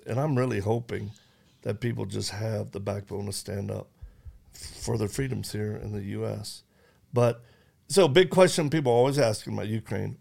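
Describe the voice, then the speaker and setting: A host speaks in an earnest storytelling way, stumbling and restarting as he recounts a conversation. His voice sits low and carries a strong gravelly fry throughout. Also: a little mellow. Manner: earnest storytelling, quoting others, frequent restarts. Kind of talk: conversational interview talk.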